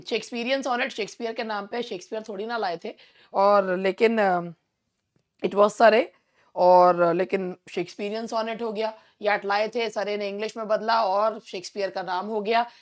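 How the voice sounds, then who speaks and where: Hoarse indian lady teaching exam english online, chatty, keeps clearing her throat. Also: a little high. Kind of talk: online exam-prep lesson, Hindi mixed with English.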